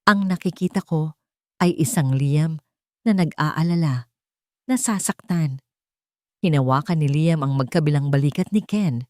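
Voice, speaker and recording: Female narrator for sentimental romance audio stories; slightly low voice, dry recording. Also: measured pace, pauses often, dry recording. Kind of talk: dramatic first-person romance story narration.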